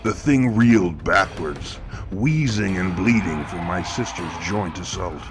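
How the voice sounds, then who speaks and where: An actor playing a grim, eloquent killer who narrates horror fiction in a measured, florid, theatrical manner. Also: male voice, low voice, expressive. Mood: grim relish. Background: music.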